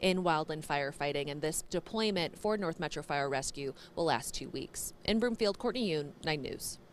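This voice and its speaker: A woman field reporter reading a composed, clear news report. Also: deep voice, animated, moderate pace, a little hiss or hum.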